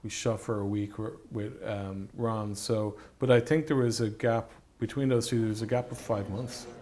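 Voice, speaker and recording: A man speaking as a film director in an interview, reminiscing about his work in halting, hesitant phrases. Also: fairly low pitch, fairly even intonation, darkish tone, a little hiss or hum. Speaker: film director and effects artist being interviewed.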